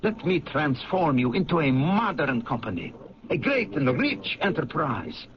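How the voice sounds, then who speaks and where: High-pitched, pompous chemist. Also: male voice, sing-song, unhurried, a little hiss or hum. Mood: fervent, persuasive. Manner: pompous, declaiming, formal speech. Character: intense, grandiose Central European immigrant chemist, proud and persuasive. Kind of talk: radio drama acting. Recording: dull vintage radio broadcast with background murmur.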